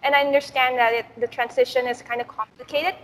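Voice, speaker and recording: A young woman whose first language is Indonesian, speaking English as a guest alumna on a video call, relaxed with fillers like "like" and "um". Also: sits high, fast talker. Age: young adult. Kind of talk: casual advice talk. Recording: echoey room with faint background noise.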